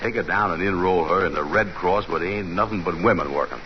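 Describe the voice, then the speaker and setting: An actor playing a slow, easygoing middle-aged sidekick with a drawl, in 1940s radio comedy. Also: male voice, sits low, natural voice. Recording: hissy dull old radio broadcast.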